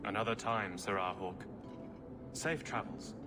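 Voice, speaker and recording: A man's voice: on the low side, a little slow, over loud music, natural voice. A man playing a courteous, devoted husband in a video game cutscene, speaking formally and admiringly. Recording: clearly noisy background ambience.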